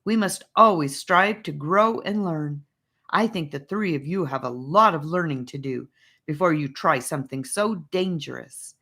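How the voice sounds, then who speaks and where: A woman voicing a thoughtful, stern older boy leader who speaks slowly and lectures in an audiobook story. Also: low voice, a bit bright. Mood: stern, heated. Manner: slow, deliberate, lecturing.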